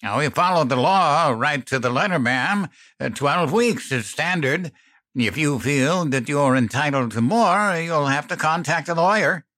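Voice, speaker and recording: A man's voice: medium pitch, normal speed, bright, forceful tone, no echo, voice pushed up. A man voicing a company representative in a suit who speaks stiffly, formally and dismissively. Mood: coldly formal.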